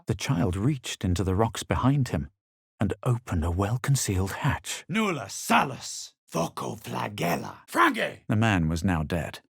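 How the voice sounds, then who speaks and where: A man acting as a self-assured, superior fantasy adventurer in a video game, with measured, dramatic delivery. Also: on the low side, very animated, creaky, breathy edge, no echo, clean studio sound, exaggerated voice. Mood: forceful incantation. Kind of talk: scripted video game voice lines, first-person narration.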